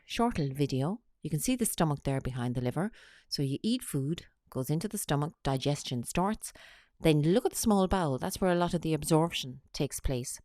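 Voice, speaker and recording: A woman talks through a slide presentation. She has a cold and explains each point in a steady, teacherly way. The sound is close and dry, with no room around it. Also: low voice, no room sound. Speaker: weight-loss coach.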